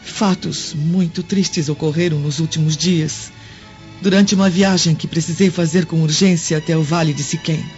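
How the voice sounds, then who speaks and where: A man voicing an anxious, devoted senator's wife in an audio drama, speaking gently, earnestly and formally. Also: on the high side, fairly even intonation, normal speed, lightly acted. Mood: anxious, reassuring. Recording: dull lo-fi audio, music behind. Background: background music.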